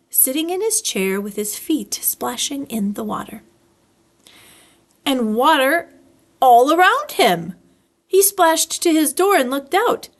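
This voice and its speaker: A woman reading a children's story aloud as a storyteller, expressively, drawing out words for effect. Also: high voice. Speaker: library storyteller.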